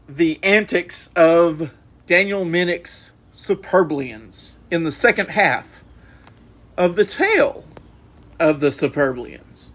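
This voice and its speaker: A man's voice: high voice, a bit bright. A man hosting a podcast, reading announcements casually with ums and goofy asides. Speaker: podcast host.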